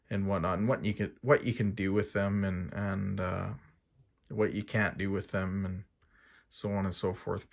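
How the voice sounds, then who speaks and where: A man's voice: fairly low pitch, creaky. A man giving a casual, unscripted explainer as an outdoors gear host, rambling and hesitant in an informal way, often checking in with a tag question.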